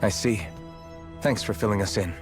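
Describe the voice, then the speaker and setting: A man plays a steady young hero leading his party in a game. The voice sits fairly low, serious and measured. Soft music runs behind it. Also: lightly acted. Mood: serious, resolute. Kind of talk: scripted video game voice acting.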